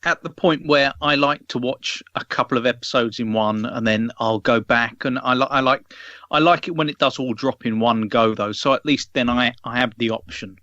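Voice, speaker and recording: A man's voice: mid-range voice, moderate pace, bright, forceful tone, no echo, highs cut off. A man chatting casually in a podcast discussion. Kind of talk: casual chat.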